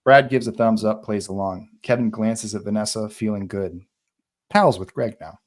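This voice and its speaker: A man with a fairly low voice narrates a screenplay at a table read. He reads the action in an informal, animated style. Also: moderate pace. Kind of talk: reading screenplay action and dialogue aloud.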